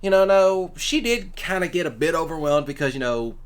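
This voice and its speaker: A man's voice: fairly high pitch, lively intonation, normal speed, clear, resonant tone. A man, rambling casually through personal stories as a commentator, in a folksy, blunt, colloquial way. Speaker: ASMR roleplay creator and commentator.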